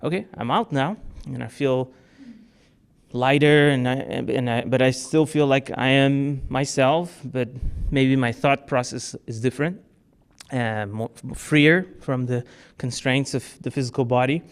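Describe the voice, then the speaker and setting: A man's voice: mid-range voice, a little slow, smooth. A man from Portugal giving a talk to a club audience, answering questions in a casual way with many fillers and restarts. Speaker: speaker. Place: club meeting room.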